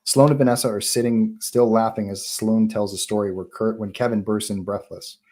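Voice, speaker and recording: A man's voice: on the low side, fairly even intonation, normal speed, a little mellow. A man narrating stage directions at a live screenplay table read.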